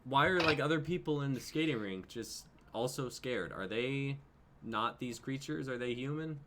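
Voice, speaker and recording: A man's voice: mid-range voice, animated, normal speed, fairly bright tone, a little hiss or hum. A man in his twenties chatting casually as he hosts a live-streamed reading group. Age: 20s.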